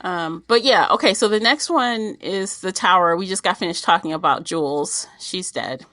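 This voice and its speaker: A woman's voice: on the low side, normal speed, sharp tone, no room sound. A woman co-hosting a casual book discussion, talking expressively and slipping into little imagined quotes. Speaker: podcast co-host discussing a book.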